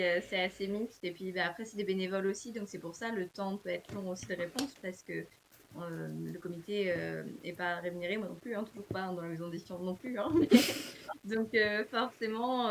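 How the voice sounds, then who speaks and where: A woman who is an author and publisher, answering interview questions casually with many fillers and pauses. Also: mid-range voice, vocal fry, slight background noise, low-quality recording.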